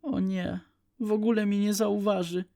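A wistful young cow from a children's storybook, played by a man. The voice is pitched high and plaintive, exclaiming over her troubles like a sensitive girl. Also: level tone, moderate pace, gravelly, dark tone, no room sound, studio quality. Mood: worried.

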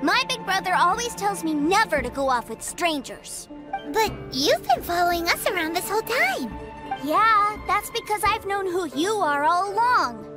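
A woman's voice: very high-pitched, sing-song, moderate pace, over loud music. A woman voicing an innocent, chatty young boy in a video game, with a light childlike voice and an earnest, artless manner. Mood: curious, earnest.

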